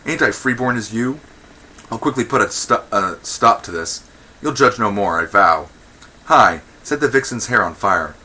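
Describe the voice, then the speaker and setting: A man's voice: on the low side, lively intonation, moderate pace, sharp tone, breathy edge, heavily acted. A man voicing defiant, fiery Athenian women in a verse comedy, taunting and threatening in a declamatory way.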